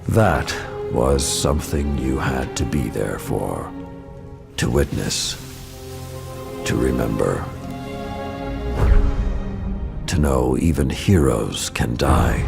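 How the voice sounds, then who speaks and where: An actor playing a grave, stern and weary veteran warrior lord in a video game, with a gravelly voice and a solemn, commanding delivery. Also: male voice, rumbling low, expressive, slow, heavily acted. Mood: solemn, mournful. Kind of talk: dramatic video game cutscene acting. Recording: music behind the voice.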